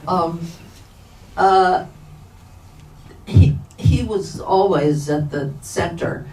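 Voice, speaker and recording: An older woman author answering audience questions off the cuff, halting and thoughtful, often pausing mid-sentence. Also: lowish voice, a little mellow. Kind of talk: unscripted question-and-answer talk. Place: live event in a bookstore. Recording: echoey room, distant mic, background noise.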